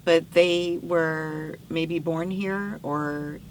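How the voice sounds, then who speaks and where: Curious woman podcast host, lowish voice. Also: steady tone, extremely slow, background noise. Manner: curious, prompting questions with short affirmations. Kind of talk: casual interview chat.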